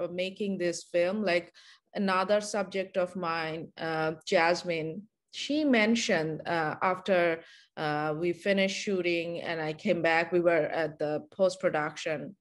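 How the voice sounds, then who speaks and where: A woman filmmaker with a Bangladeshi accent, from Bangladesh, answering in a webinar interview over a video call, halting, with many filler sounds. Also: on the low side. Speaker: documentary filmmaker, guest.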